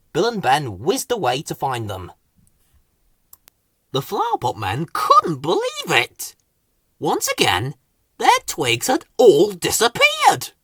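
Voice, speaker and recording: Low-pitched woman reading with very animated intonation. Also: breathy edge, no echo, studio quality. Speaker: storybook reader. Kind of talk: expressive scripted children's story narration.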